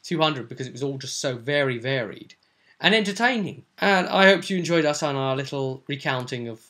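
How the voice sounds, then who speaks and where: A man hosting as game master, casually recounting a tabletop roleplay session in an animated storytelling way, often ending on tag questions. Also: medium pitch, a little fast. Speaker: game master and show host.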